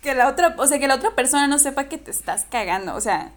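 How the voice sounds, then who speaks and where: A lively woman with a Mexican Spanish accent chats as a guest, joking and animated as she makes her points. Her voice is pitched a little high for a woman, with plenty of bounce in the delivery. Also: fast. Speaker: guest, former student of the host. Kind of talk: casual chat.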